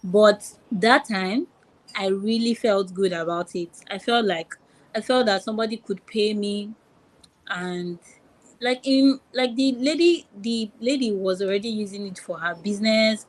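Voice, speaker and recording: Young Nigerian woman, halting and repetitive. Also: medium pitch, poor audio. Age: young adult. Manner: repeats phrases, many fillers and restarts. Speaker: guest. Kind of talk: podcast interview.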